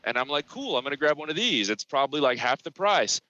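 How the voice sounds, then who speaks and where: Bright-voiced young man rambling on a call. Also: fairly high pitch, very animated, fast, no room sound. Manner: rambling storyteller, swears freely, restarts often. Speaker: podcast co-host. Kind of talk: casual chat. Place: at work. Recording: lo-fi call audio.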